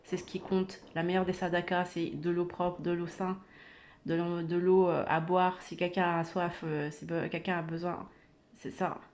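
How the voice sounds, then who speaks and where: An earnest woman speaks in a lowish, level voice. She hesitates often, restarting her sentences and filling the pauses, as she urges her listeners on in an informal religious talk. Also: level tone, darkish tone, a little hiss or hum. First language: not Arabic. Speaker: religious video creator. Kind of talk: informal spoken religious exhortation.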